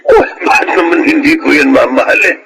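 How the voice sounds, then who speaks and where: A man's voice: a little high, big pitch swings, a little fast, vocal fry, crowd noise. A man playing a doting, affectionate grandfather in a Filipino radio drama. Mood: fond. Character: doting older grandfather, warm and cheerful father. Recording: noisy radio drama ambience.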